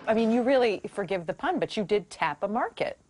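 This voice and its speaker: A woman's voice: mid-range voice, expressive, brisk, music in the background. A woman interviewer asking probing, conversational questions.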